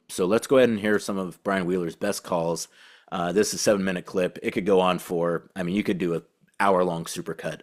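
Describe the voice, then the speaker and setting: A man hosting a team podcast, speaking casually and haltingly as he thinks aloud in a tribute to a colleague. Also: low-pitched. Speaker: podcast host and team beat reporter.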